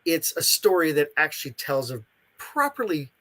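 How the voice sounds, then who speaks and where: A man with a slightly higher-than-average voice chats in an interview as a guest creator. He talks at length and explains things with emphatic repetition. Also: sing-song, moderate pace, pushed and bright. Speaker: interviewed writer and creator of interactive audio dramas. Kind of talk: casual interview chat.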